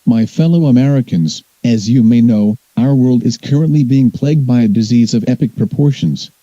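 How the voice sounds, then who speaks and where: A man playing a grave US President who delivers a solemn, measured, formal emergency address in an audio drama. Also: medium pitch, dark tone, no room sound, highs cut off, close to an everyday voice.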